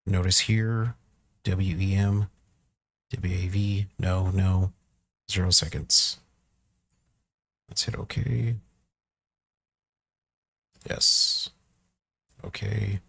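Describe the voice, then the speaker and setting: A man with a low, creaky voice talks through a software tutorial at a slow pace. He is informal and thinks aloud as he works, recorded close on the mic. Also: flat delivery, no echo. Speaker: tutorial presenter. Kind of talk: casual screen-recorded software walkthrough.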